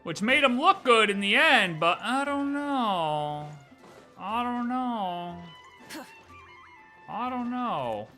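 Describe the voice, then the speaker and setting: A man's voice: very high-pitched, animated, takes it slowly, bright, forceful tone, faint music behind. A man chatting casually as a livestreamer, slangy and rambling, repeating phrases for emphasis as he speculates. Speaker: livestreamer reacting to game lore. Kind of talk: casual livestream chat, speculating about game story.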